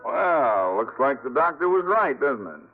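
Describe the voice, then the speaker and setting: Wisecracking, lively police lieutenant, tinny radio. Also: male voice, medium pitch, unhurried, faint music behind. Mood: teasing. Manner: teasing, blustery. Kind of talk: 1950s radio drama acting. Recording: tinny band-limited old radio recording.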